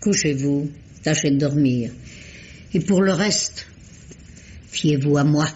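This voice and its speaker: A woman's voice: sits low, slow pace, noisy background. An actress playing an older lady detective, composed and motherly but firm, in an old radio drama. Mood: reassuring. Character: older lady sleuth, kindly and shrewd. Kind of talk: 1950s radio drama acting. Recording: dull old radio recording.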